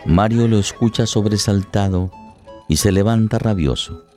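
A man's voice: lowish voice, normal speed, music in the background. A man delivering dramatic scripted narration for a radio play.

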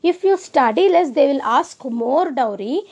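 High-voiced Indian woman, earnest and rambling. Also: animated, unhurried, darkish tone. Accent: Indian English. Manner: earnest, rambling, with frequent restarts. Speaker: speaker sharing personal views. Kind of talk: casual monologue.

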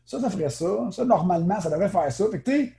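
Bright-voiced Quebec French man, chatty. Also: sits high, animated, vocal fry. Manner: chatty, quick, many fillers and restarts. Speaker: guest, naturopath. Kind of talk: casual interview talk.

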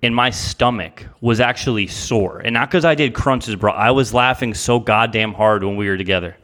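A man joking and teasing with friends in casual chat, laughing quickly. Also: fairly low pitch, a bit bright.

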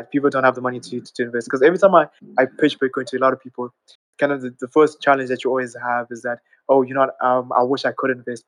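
A man talks in a steady, flat tone, earnestly walking through his points one at a time. His voice sounds dry and close, with little room around it, and sits in a middle register. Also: medium pitch, no echo, low-quality recording. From Zimbabwe. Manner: earnest, explaining step by step. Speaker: audience speaker. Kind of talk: casual live audio chat.

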